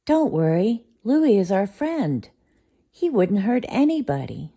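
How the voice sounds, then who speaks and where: A woman reads in the voice of a kind young princess who runs a restaurant, lifting her pitch high and speaking loudly. The princess sounds warm and reassuring in this bedtime storybook. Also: big pitch swings, slow, husky, full character voice. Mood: soothing.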